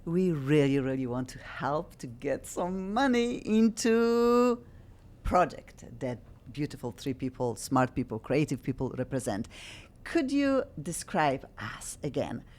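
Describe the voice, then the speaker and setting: A woman hosts a talk radio show in English, her voice sitting low. She talks in a halting way and often stops to restart or search for a word. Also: very animated, a little mellow. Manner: halting non-native English with many restarts.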